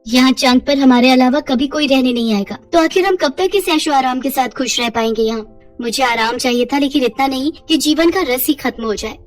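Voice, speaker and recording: Woman as an anxious high-pitched young village wife. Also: brisk, faint music behind, lightly acted. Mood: pleading, sensible. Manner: fretful, pleading, questioning.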